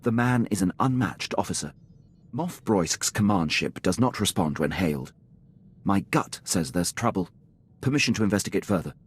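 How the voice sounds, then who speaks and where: An actor playing a loyal, deferential Imperial military officer in a video game, reporting in a crisp, formal manner. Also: male voice, deep voice, animated, moderate pace. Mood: dutiful, urgent. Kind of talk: scripted video game acting.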